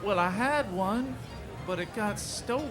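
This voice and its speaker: A man's voice: high-pitched, lively intonation, unhurried, music in the background. A man playing a scrawny, naive drifter in a western radio drama, with a hesitant rustic drawl. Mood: meek, naive. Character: scrawny, simple-minded, meek male drifter, ex-Union soldier. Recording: live stage with audience noise.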